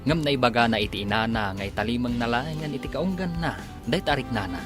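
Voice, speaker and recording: A man narrating as a radio drama announcer, in a scripted, emphatic, drawn-out dramatic delivery. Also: medium pitch, animated. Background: music.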